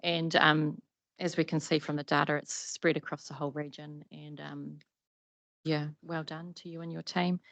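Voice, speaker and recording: Brief: New Zealand woman, very low, flat, gravelly voice, committee member giving thanks. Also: no echo. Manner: appreciative, halting with ums. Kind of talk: meeting remarks.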